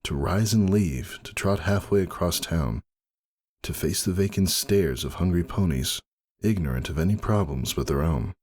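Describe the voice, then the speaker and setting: A man narrating a first-person story dramatically, in a brooding, measured and theatrical delivery. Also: low voice, a little mellow. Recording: music behind the voice. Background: music.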